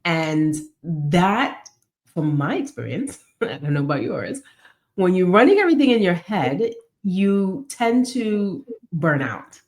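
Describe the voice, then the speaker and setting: A woman's voice: fairly low pitch, big pitch swings, slow pace. A woman with an American accent telling her story casually as a guest in a podcast interview. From United States. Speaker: podcast guest, business owner.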